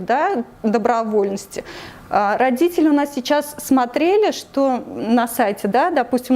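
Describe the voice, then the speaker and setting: A woman's voice: fairly high pitch, a little mellow. Earnest woman with many hesitant fillers. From Tatarstan, Russia. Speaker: interview guest, anti-corruption committee member. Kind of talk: earnest explanatory interview answers.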